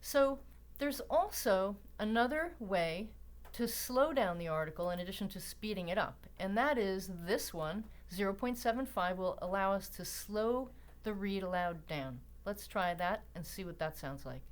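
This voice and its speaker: A woman narrating an instructional screencast in a deliberate, explanatory way with emphatic pauses. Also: mid-range voice. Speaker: library tutorial presenter.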